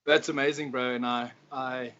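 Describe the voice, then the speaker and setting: A man's voice: mid-range voice, level tone, normal speed, fairly bright tone. A South African man interviewing casually as a football coach, effusive and matey in his encouragement. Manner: effusive, matey, calls guest bro. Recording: dull lo-fi sound with clear noise.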